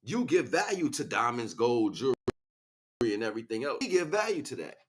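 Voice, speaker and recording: A man's voice: mid-range voice, sing-song, brisk, a bit bright, slightly rough. A man hosting a casual livestream, talking in a slangy, rambling, preachy way as he chats back to viewers. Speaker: livestream host. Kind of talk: casual impassioned livestream monologue.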